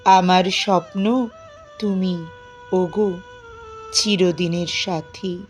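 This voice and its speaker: A woman giving an expressive, dramatic poetry recitation in a plaintive, emotive manner with drawn-out pauses. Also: lowish voice. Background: music.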